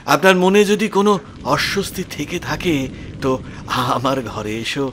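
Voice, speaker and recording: An actor playing a polite, concerned old village gentleman hosting a guest in an audio horror story. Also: on the high side, very animated, moderate pace, a little hiss or hum. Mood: polite, reassuring.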